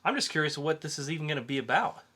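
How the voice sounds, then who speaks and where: A man's voice: on the high side, expressive, rapid-fire, sharp tone, slightly rough. A man co-hosting a podcast, chatting casually about pop-culture news and freely offering opinions. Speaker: podcast co-host.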